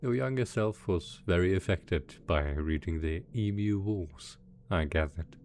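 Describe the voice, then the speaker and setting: A man playing a doting, possessive mad scientist boss in an ASMR roleplay, soft-spoken, coaxing and sweetly sinister. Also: sits low, measured pace, slight background noise. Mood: affectionate, soothing. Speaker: voice actor in audio roleplay.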